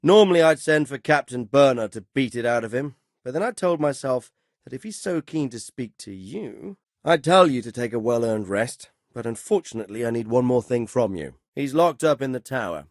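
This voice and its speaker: An actor playing a stern, dry-witted middle-aged medieval lord in a video game, speaking with formal, measured authority and a wry, sardonic edge. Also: male voice, mid-range voice, expressive, dry recording, lightly acted. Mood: serious, commanding. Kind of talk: video game dialogue acting.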